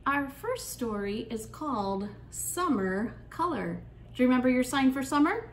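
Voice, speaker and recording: A woman hosting an interactive children's storytime, speaking in an encouraging, sing-song way and pausing with questions for young listeners. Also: sits high, sing-song, reverberant, slight background noise. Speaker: children's storytime librarian and host. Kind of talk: interactive children's storytime, reading aloud and leading songs.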